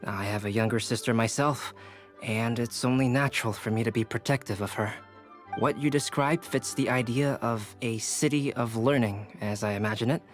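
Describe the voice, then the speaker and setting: A calm, courteous young man, voiced by a man, speaks politely and with quiet curiosity at an ordinary pitch. Faint game music plays behind him. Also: normal speed, lightly acted. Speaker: teacher. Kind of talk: video game voice acting.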